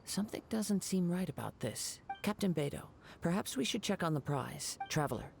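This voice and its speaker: Brief: performer as a gentle, gravelly young wandering swordsman, formal and composed. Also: medium pitch, lively intonation, a little hiss or hum. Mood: thoughtful. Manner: measured, formal and gentle, drops to a whisper at times. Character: calm young male wandering samurai, poetic and courteous. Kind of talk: soft ASMR-style fan voice acting.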